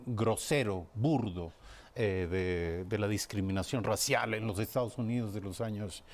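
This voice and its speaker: A man hosting a television interview, posing formal questions in a deliberate, rhetorical way with repeated phrases. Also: on the low side.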